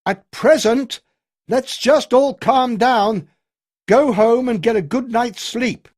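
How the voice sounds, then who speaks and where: A man's voice: high voice, lively intonation, normal speed, pitched above the performer's own voice. A man voicing a tired but commanding army colonel, speaking in a clipped, authoritative way and raising his voice over a crowd. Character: commanding male army colonel, stern and weary.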